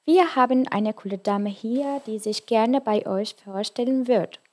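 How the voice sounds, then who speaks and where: A woman's voice: a little high, a little slow, darkish tone. A woman interviewing a guest, asking friendly, encouraging questions. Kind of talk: casual interview.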